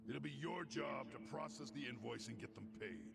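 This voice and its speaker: An actor playing a stern, threatening warehouse boss in a video game, curt and matter-of-fact. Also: male voice, medium pitch, normal speed, creaky. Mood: businesslike.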